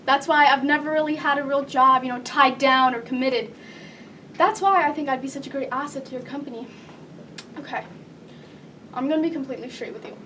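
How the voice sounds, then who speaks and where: A woman's voice: high voice, brisk, long pauses, fairly bright tone. A woman performing a stage monologue as a nervous, rambling thirty-year-old job applicant who keeps correcting herself. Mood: nervous, awkward. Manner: rambling, nervous, self-correcting with awkward laughter. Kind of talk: live theatrical comic monologue. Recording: distant stage mic with background noise.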